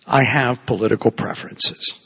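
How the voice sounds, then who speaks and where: Man, Cuban-born priest, husky voice, long church reverb. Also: mid-range voice, steady tone, moderate pace, bright, forceful tone. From Cuba. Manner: conversational, digressive preaching with asides. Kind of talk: homily.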